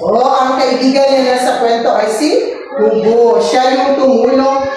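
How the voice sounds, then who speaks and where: A woman, an elementary teacher reading a story aloud and questioning children in an expressive, prompting way. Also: high-pitched, measured pace, soft background music. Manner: expressive, didactic, prompting listeners. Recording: echoey room, distant mic, faint noise.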